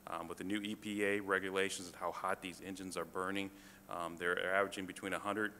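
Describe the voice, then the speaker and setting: A man presenting to a city council as a fire department official, halting, with many fillers and restarts. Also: sits low, little pitch movement, pushed and bright. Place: council chamber. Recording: reverberant chamber, faint room noise.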